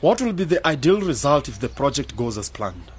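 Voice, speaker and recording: Man playing a skeptical, probing friend, lively pitch. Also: brisk, a little hiss or hum, close to an everyday voice. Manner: challenging, matter-of-fact. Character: skeptical, probing young man, pragmatic business planner friend. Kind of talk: radio drama acting.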